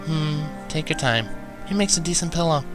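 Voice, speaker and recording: A man's voice: fairly high pitch, animated, moderate pace, music in the background, voice pushed up, natural voice. A man voicing a witty, affectionate young female pony who murmurs lazily and teasingly in a story reading. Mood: lazy, teasing.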